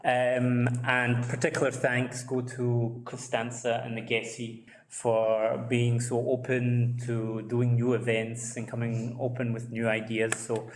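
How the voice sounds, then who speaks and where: A man's voice: mid-range voice, monotone, measured pace, hardly any pauses, echoey room, a little hiss or hum. A man presenting his book at a launch event, speaking with many hesitations and fillers. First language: English. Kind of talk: presentation talk.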